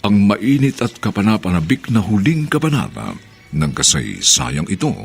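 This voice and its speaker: Male drama announcer, gravelly and hugely animated delivery, formal and suspenseful. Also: fairly low pitch.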